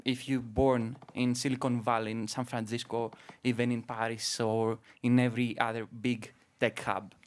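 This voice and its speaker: A man's voice: medium pitch, smooth. A man from Greece with a Greek accent speaking on a panel, searching for his words as he goes. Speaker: panelist, startup founder. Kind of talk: live panel introduction.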